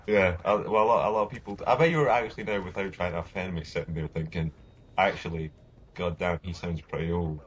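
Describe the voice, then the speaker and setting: A man's voice: sits low, quick pace, highs cut off, a little hiss or hum. A young man with a voice that sounds older than his age, joking and bantering in casual gaming commentary chat. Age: young adult. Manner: joking, banters and winds others up. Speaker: co-host.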